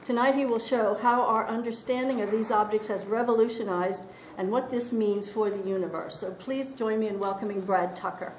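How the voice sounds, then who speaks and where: A woman hosting an event, reading a formal introduction of the speaker in a steady way. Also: mid-range voice, moderate pace, few pauses, heavy background noise. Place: lecture hall. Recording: echoey hall.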